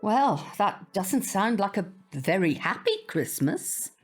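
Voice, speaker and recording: A woman's voice: medium pitch, very animated, slow, slightly rough, faint music behind, exaggerated voice. A woman playing a prim, old-fashioned older British grandmother, opinionated and wistful, in an audio drama. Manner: prim, proper, hesitant around awkward subjects.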